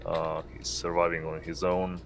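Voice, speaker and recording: A man introducing his game character in a halting, matter-of-fact way. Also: low voice, monotone, slight background noise. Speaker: tabletop game player.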